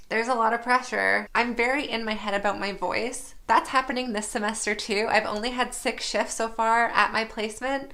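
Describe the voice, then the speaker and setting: A young woman speaking candidly to the camera in a personal vlog, her voice sitting a little high. She sounds reflective, with a faint rasp at times, and laughs at herself now and then. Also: fairly bright tone. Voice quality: slightly raspy at times. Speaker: nursing student.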